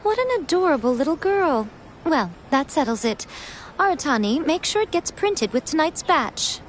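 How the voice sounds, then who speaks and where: A woman's voice: high-pitched, big pitch swings, moderate pace, a little hiss or hum. A woman voicing a sly, teasing lady publisher in a video game, playful, coy and self-assured. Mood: pleased, playful.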